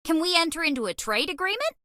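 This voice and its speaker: A woman's voice: extremely high pitch, very animated, quick pace, pushed and bright, dry recording, studio quality, heavily acted. A woman voicing a bubbly, cheese-obsessed young alien rodent space traveler in a video game, with a perky, theatrical delivery. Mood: cheerful, playful. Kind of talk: animated game character voice lines.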